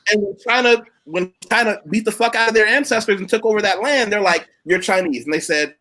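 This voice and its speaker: High pitched guy hosting a chat, blunt storyteller, swears a bit. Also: a little fast, pushed and bright. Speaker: live chat host. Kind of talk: casual opinionated chat.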